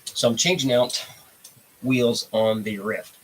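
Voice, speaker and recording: A man's voice: medium pitch, fairly even intonation, slow, slightly rough, low-quality recording. A congested man hosting a casual live stream, rambling in a laid-back way and greeting viewers from the chat. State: congested, stuffy nose. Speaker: livestream host.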